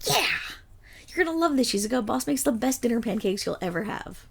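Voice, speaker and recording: A woman's voice: a little high, animated, a little fast, vocal fry, breathy edge, full character voice. A woman voicing an affectionate, silly dog girl in an ASMR roleplay, bubbly, eager and playful. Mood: excited.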